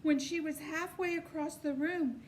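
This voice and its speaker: A woman with a distinctly high voice reads a children's novel aloud. She tells the story expressively. A faint noise runs behind her voice. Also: steady tone, normal speed. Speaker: storybook reader.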